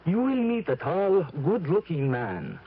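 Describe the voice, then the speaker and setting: A mysterious, self-assured fortune teller in an old radio commercial. The voice is fairly high and theatrically varied. The recording is muffled and noisy. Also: male voice, wildly swooping pitch, measured pace, husky, full character voice. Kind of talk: radio advert acting.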